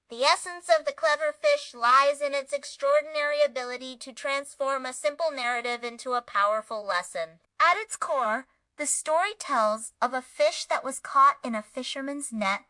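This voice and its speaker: A woman's voice: high voice, pushed and bright, dry recording. A woman reading a scripted children's story review as narrator, with an earnest, emphatic and dramatic delivery.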